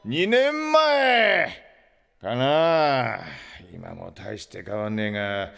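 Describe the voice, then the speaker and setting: Hot-headed middle-aged space captain, gravelly, rough-tongued, big swings in pitch. Audio drama. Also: male voice, sing-song, extremely slow, fairly bright tone, no room sound, studio quality, heavily acted. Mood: boastful reminiscing. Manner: blustery, rough-spoken. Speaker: ship captain. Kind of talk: Japanese audio drama acting.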